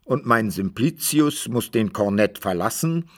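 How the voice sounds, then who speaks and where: A man narrating a literary radio play as a storyteller, in measured, old-fashioned wording. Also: fairly low pitch, expressive.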